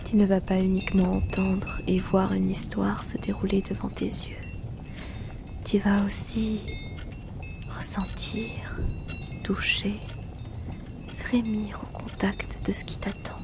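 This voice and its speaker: Woman performing a haughty rich hypnotist, whispered, very slow, close mic. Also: mid-range voice, monotone, gravelly. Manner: soft teasing whisper, unhurried. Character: aloof wealthy woman hypnotist, teasing. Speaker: ASMR roleplay performer. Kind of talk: whispered ASMR hypnosis roleplay.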